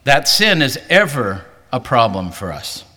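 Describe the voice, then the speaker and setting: Man preaching with bright tone in big hall. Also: very animated, unhurried. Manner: earnest, rising pitch for emphasis. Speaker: pastor. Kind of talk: sermon. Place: large hall, preaching to a convention. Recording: hall reverb.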